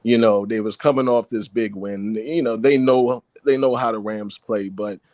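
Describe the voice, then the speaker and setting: A man calling in to a sports show, chatting in a relaxed way. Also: on the low side, moderate pace, no echo, clean studio sound. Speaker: caller. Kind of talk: casual sports talk.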